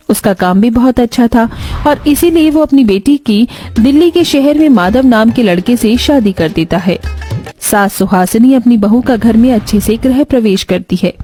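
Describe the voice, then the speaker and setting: Husky-edged, higher-voiced woman storyteller. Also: warm tone. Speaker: narrator. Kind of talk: scripted moral story narration.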